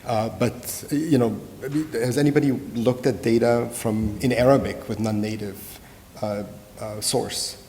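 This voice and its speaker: A man runs a workshop discussion, pausing often and restarting his sentences. His voice carries the long reverb of a large hall. A faint rumble sits underneath. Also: steady tone, warm tone. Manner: hesitant, many fillers and restarts. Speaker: workshop organizer leading discussion. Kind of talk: conference Q&A discussion. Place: conference room.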